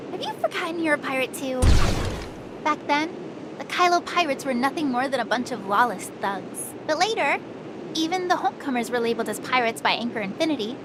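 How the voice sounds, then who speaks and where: A woman voicing a brisk, frank young female space pirate in scripted anime game dialogue. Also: high voice, noisy background.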